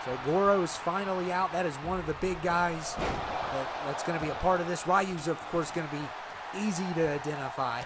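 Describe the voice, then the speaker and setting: A man's voice: fairly high pitch, moderate pace. A man casually commentating on a video game match, chatty and reactive, a little congested from allergies. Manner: relaxed, chatty, reacts to the action. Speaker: gameplay commentator. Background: loud game crowd and noise.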